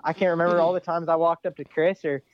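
A man's voice: fairly high pitch, level tone, fast, dry recording, lo-fi sound. A young man, an ATV racer, talking on a podcast in a laid-back, rambling way, full of "you know" and "dude". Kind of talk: casual podcast interview.

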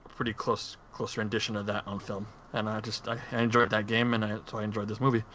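A man's voice: lowish voice, level tone, quick pace, a bit bright. A man co-hosting a casual film chat among friends, tentative and often correcting himself as he goes. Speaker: podcast co-host. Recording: dull lo-fi mic with faint noise.

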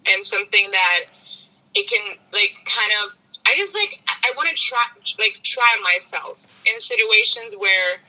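Bright Polish-accented woman guest. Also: medium pitch, low-quality recording. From Poland. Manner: earnest, laughs often, searches for words. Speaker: guest, music producer. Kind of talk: casual interview.

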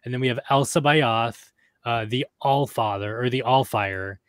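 A man co-hosting a casual chat, reading names from his notes with many pauses and fillers. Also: medium pitch, a bit bright, smooth, no room sound. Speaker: podcast co-host.

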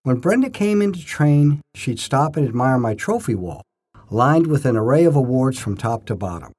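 Husky man reading memoir with lively pitch. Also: moderate pace. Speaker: narrator telling his own memoir stories. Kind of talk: scripted first-person story narration.

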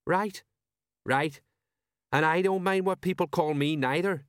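A man's voice: on the high side, a little slow, fairly bright tone, clean tone, dry recording, clean studio sound, voice pushed up. A man voicing a simple, amiable, slightly pompous police sergeant in an audiobook, with colloquial, uneducated phrasing. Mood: worried, self-important.

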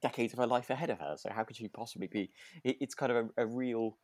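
A man's voice: medium pitch, quick pace, darkish tone, dry recording. A man co-hosting a podcast in casual chat, rambling and often correcting himself as he goes. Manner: hesitant, rambling, self-correcting. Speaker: podcast co-host. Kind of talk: casual chat reviewing a radio drama.

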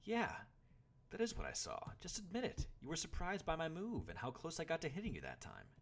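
A man's voice: on the low side, very animated, fairly quick, gravelly, fairly bright tone, slightly rough, pitched above the performer's own voice, exaggerated voice. A man voicing a proud, frustrated boy warrior in training, arguing indignantly in an audiobook story. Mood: insistent. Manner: indignant, argumentative.

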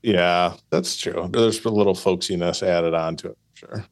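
A man with a Midwestern accent co-hosting a legal podcast, measured as he explains a case. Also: low-pitched, steady tone. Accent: Midwestern American. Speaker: podcast co-host, lawyer. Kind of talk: casual legal podcast chat.

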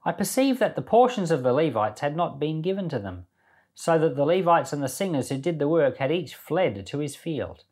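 A man's voice: a little high, big pitch swings, moderate pace, darkish tone. A man hosting a Bible podcast, reading scripture expressively and with emphasis, then commenting on it informally. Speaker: Bible podcast host and reader.

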